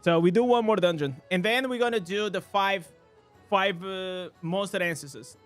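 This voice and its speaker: A man with a high voice for a man streams a game live, narrating his play in English. He bursts into excited reactions over loot and chats casually with his viewers. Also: normal speed, soft background music. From Portugal. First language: Portuguese. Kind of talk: live gameplay commentary.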